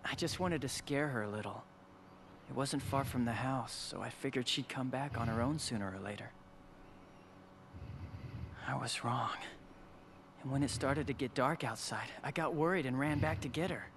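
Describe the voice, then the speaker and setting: An actor playing a brash, protective young adventurer and devoted brother in a video game, with a casual, blunt, youthful manner. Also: male voice, mid-range voice, normal speed, long pauses, noisy background, close to an everyday voice. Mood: subdued, regretful. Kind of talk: video game cutscene acting.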